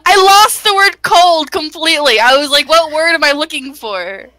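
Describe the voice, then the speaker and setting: A woman's voice: sits high, normal speed. A woman chatting casually and giggling as she hosts and reads through a visual novel with friends. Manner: giggly, animated. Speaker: host narrating a visual novel playthrough. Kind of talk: casual group chat with read-aloud narration.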